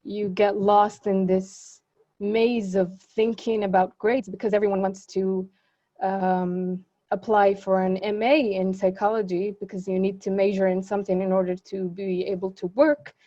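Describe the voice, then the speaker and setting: A young woman whose first language is Arabic speaks earnestly and reflectively over a video call. She pauses often on fillers and restarts her sentences. Her voice is mellow and soft-edged, and the audio is slightly muffled. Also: medium pitch, flat delivery. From Israel. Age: young adult. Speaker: student panelist. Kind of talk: webinar panel talk.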